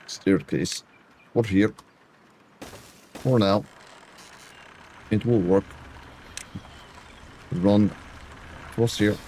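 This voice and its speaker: A man's voice: on the low side, warm tone, a little hiss or hum. Mock-accented man streaming a game. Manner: talks to chat in a mock Eastern European dictator voice, dropping articles. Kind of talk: casual gameplay commentary.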